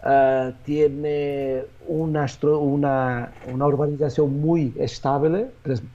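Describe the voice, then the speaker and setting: A man, a native Portuguese speaker with a Portuguese accent, answering interview questions as a real estate agent in a halting way, with many restarts and fillers. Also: fairly high pitch, fairly even intonation, mellow, muffled recording.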